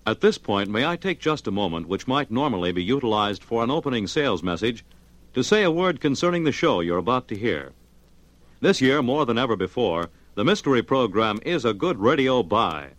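A man delivering a polished, emphatic 1950s radio sales pitch as a network announcer. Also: sing-song, fairly quick, no room sound.

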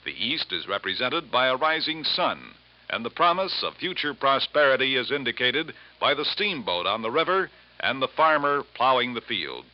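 A man reading formal scripted narration as a radio announcer, with measured, stately delivery. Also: medium pitch, big pitch swings. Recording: muffled vintage radio recording.

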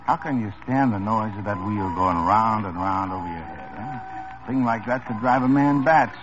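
A police partner who teases a suspect in a mock-hurt, mocking tone, voiced by a man. The old radio sound is muffled, with music clearly playing under the scene. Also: on the low side, lively intonation, normal speed, natural voice. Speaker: police officer. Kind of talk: 1950s radio drama acting.

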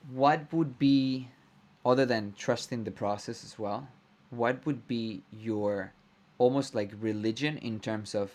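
A man hosting a podcast, chatting casually and warmly echoing his guest's words back. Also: medium pitch, unhurried. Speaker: podcast host. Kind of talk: casual interview chat.

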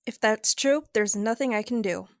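A woman's voice: a little high, normal speed, close to an everyday voice. A woman playing a guarded, conflicted young wife in an audio drama, loyal and defiant, speaking tersely. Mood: wary.

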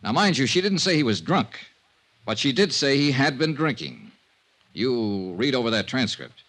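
An actor playing a shrewd, persistent insurance investigator in a 1950s radio drama, probing and wry. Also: male voice, medium pitch, very animated, normal speed, a bit bright. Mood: insistent.

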